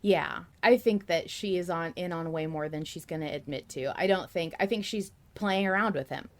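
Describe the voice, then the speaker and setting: A woman co-hosting a podcast, chatting casually and enthusiastically about a story as a fan, with an expressive, giggly manner. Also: fairly low pitch, normal speed. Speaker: podcast co-host. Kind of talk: casual fan discussion of an audio drama.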